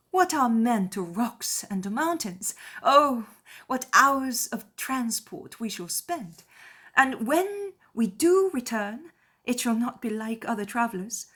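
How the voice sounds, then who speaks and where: A woman voicing a spirited, witty young gentlewoman with an exclamatory, emphatic delivery in a dramatized audiobook. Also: a little high, pitched above the performer's own voice.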